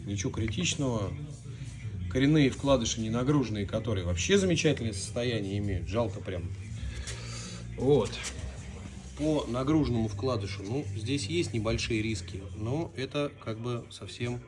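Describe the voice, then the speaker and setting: A hoarse male mechanic casually walking through an engine teardown in a repair garage, matter-of-fact and a little rueful. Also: mid-range voice, lively intonation, normal speed. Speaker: auto mechanic, channel host. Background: music.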